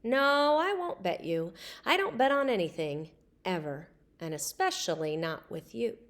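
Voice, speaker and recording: A woman's voice: mid-range voice, very animated, a little slow. A woman voicing a haughty, dismissive schoolgirl with a curt, scornful manner in storybook dialogue.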